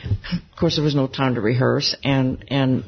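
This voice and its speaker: Older woman with a low, husky voice. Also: fairly even intonation, a little slow. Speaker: interview guest, gospel singer. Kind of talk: casual interview conversation. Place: music convention. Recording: slightly dull lo-fi recording.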